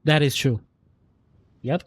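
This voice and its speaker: A man's voice: a little high, slow pace, husky. A man with a Filipino accent giving casual, slangy live game commentary, switching between English and Filipino. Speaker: game streamer.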